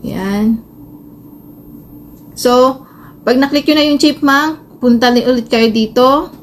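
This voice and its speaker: A woman's voice: sits high, slow pace. A Filipino woman giving a casual step-by-step tutorial, explaining informally with some hesitation. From Philippines. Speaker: tutorial host, vlogger. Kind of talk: casual spoken phone tutorial. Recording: noisy amateur phone recording.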